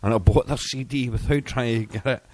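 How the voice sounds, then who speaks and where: A man's voice: mid-range voice, brisk, darkish tone, no echo. A man chatting casually as an internet radio DJ between songs, rambling and stumbling over his words.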